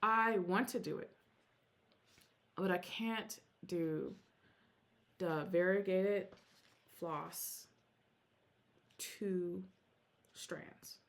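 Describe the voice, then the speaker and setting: A woman whose first language is Korean talks casually in a vlog, rambling and breaking off mid-thought. Her voice sits a little low with a gravelly, creaky edge. She takes her time, speaking slowly. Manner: chatty, rambling, self-interrupting. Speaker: Flosstube vlogger. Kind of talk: casual show-and-tell vlog.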